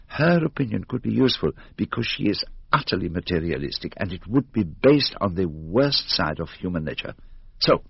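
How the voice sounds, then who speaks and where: Shrewd foreign detective, low husky voice, precise, courteous, radio mystery. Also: male voice, very animated, lo-fi sound, exaggerated voice. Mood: musing, wry. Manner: measured, precise, formal. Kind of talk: radio drama acting.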